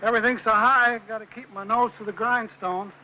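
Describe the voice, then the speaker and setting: A whiny, sharp-voiced old-film postman. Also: high voice, steady tone, a little fast, a little hiss or hum, low-quality recording. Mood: grumbling. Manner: grumbling, long-winded, self-pitying. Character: weary, complaining, garrulous penny-pinching postman. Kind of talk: mid-century film noir character acting.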